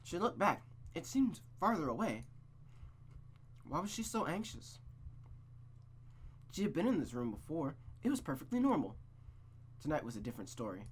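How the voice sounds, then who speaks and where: A man's voice: fairly high pitch, sing-song, normal speed, long pauses, husky. A man reading a visual novel aloud in a suspenseful storytelling style, with playful, casual asides.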